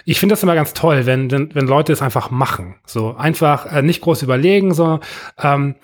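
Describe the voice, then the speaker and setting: A man interviewing a guest in a relaxed conversation, pausing often with fillers and restarting his sentences. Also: fairly high pitch. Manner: hesitant, many fillers and restarts. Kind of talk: casual interview.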